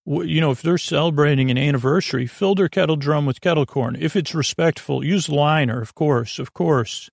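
A man hosting a sleep podcast in a casual, meandering monologue, halting and repeating himself as he drifts into tangents. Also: a little high, vocal fry, no room sound, studio quality. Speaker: podcast host.

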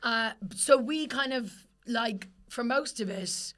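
Bright-voiced young woman, raspy after illness. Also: on the high side, slow pace. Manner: chatty, swears freely, laughing. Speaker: podcast co-host. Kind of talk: casual chat.

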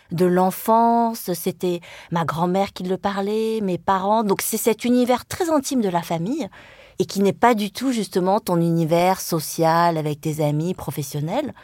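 A woman's voice: medium pitch, darkish tone, no room sound, clean studio sound. A woman from France whose first language is Vietnamese, speaking as a journalist in a reflective interview, thoughtful and hesitant with frequent fillers and restarts. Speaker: journalist and writer.

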